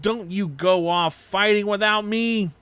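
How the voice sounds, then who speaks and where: A man voicing a hot-headed young swordsman from an anime story, belligerent and yelling, spoiling for a fight. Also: high-pitched, a little slow, smooth, dry recording, voice pushed up. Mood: fierce excitement.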